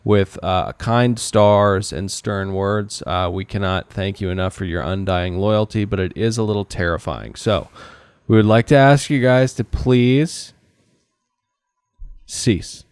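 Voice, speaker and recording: A man's voice: fairly low pitch, unhurried, smooth, no echo. A man hosting a casual comedy podcast, joking and delivering mock-formal announcements. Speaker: podcast host.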